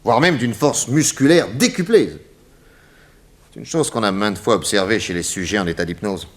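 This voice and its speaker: An actor playing a confident detective boss in a 1960s French radio serial, explaining in an emphatic, didactic, theatrical way with dramatic pauses. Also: male voice, fairly low pitch, natural voice. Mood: emphatic, explanatory. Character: confident male detective boss explaining crimes to his assistant.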